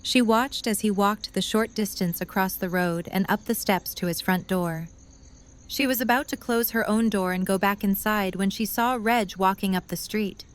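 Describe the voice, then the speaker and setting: A woman's voice: medium pitch, moderate pace. A woman reading scripted third-person story narration for an audio drama.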